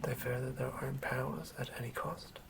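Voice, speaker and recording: An ASMR host speaking in a soft, breathy whisper, gently and quietly sharing tabletop fantasy material. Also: medium pitch, little pitch movement, normal speed, very noisy. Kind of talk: whispered ASMR, chatting and reading aloud from a game manual.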